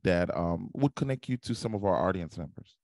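A man's voice: low-pitched, animated, brisk, vocal fry, darkish tone, no echo, clean studio sound. A man hosting a podcast interview, explaining things at length in a long-winded way. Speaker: podcast host.

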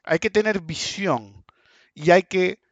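A man's voice: high voice, slow, no room sound. A man in his forties with a Rioplatense Spanish accent, ranting bluntly and crudely in a casual monologue about markets and keeps asking "ok?". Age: 40s. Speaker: market commentator. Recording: dull dry lo-fi sound.

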